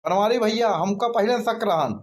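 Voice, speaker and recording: Plaintive cartoon villager played by a man, very high male voice, aggrieved bachelor, Awadhi accent. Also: normal speed, lightly acted. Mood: defensive. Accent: Awadhi, eastern Uttar Pradesh. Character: aggrieved village bachelor protesting his innocence.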